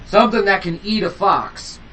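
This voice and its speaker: A man's voice: high-pitched, moderate pace, bright, forceful tone, far from the mic, pitched above the performer's own voice. A grim older lighthouse father, acted by a male narrator speaks in a raised, tense voice. It is a dramatic horror story figure, heard over noisy sound effects.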